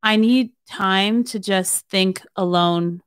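A woman's voice: medium pitch, takes it slowly, clear, resonant tone. A woman in her late twenties, a singer-songwriter guest, talking casually with lots of fillers. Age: 20s. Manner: chatty, filler-heavy, laughs easily. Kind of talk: casual podcast chat.

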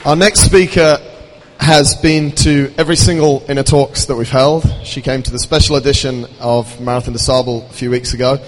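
A man hosting a live event, briskly introducing the next speaker. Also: mid-range voice, fairly even intonation. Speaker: event host. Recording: distant voice with long hall reverb.

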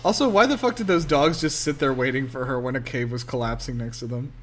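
A man's voice: medium pitch, fast, fairly bright tone. A man talking casually and informally as a podcast co-host, giving film commentary. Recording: music behind the voice.

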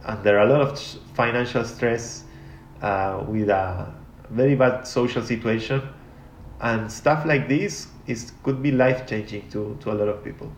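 A man's voice: mid-range voice, moderate pace, a little hiss or hum. A man from Argentina, speaking as an interview guest in a reflective, rambling way with many restarts. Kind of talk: casual interview talk. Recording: echoey room.

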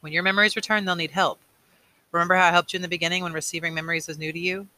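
A man voicing a grave, weary male elder mentor in a storybook, measured and earnest. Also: high voice, level tone, sharp tone. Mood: solemn, urgent.

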